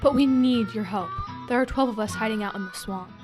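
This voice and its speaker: A brave woman leading escaped slaves speaks firmly in a slightly high voice performed by a female student reader. She is commanding and determined, in a school radio drama with clear music behind her. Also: a little fast, lightly acted.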